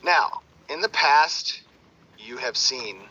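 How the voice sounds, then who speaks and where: A man presenting game design on a livestream, his voice raspy and tired, rambling with many fillers. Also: medium pitch, animated, slow, sharp tone. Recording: dull sound with faint music.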